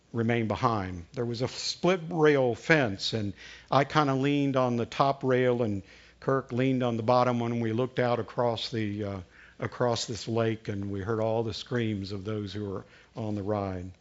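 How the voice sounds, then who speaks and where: A man's voice: mid-range voice, muffled recording. A man in his sixties with a Southern American accent, preaching a sermon as a pastor in a folksy storytelling way. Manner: folksy storytelling with frequent uh fillers.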